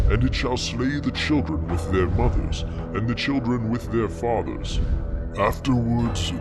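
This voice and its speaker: A man playing a solemn, stern archangel who pronounces judgment in grave, archaic declamation in an audio drama, with music behind him. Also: fairly low pitch. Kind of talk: audio drama scripture dialogue. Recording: loud music bed behind the voice.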